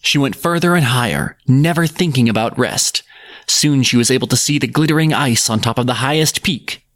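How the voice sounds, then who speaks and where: A man's voice: medium pitch, normal speed, a bit bright, dry recording, studio quality. A man narrating a scripted folk tale as a podcast storyteller.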